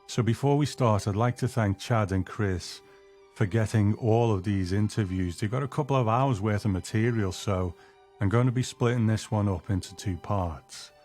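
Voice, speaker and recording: A man's voice: lowish voice, moderate pace, a little mellow, faint music behind. A man hosting a show, delivering scripted narration. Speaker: podcast host.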